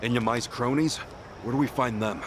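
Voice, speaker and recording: An actor voicing a brash, loyal, hot-blooded hero in an action video game, talking bluntly and casually. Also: male voice, medium pitch, normal speed, background noise, natural voice.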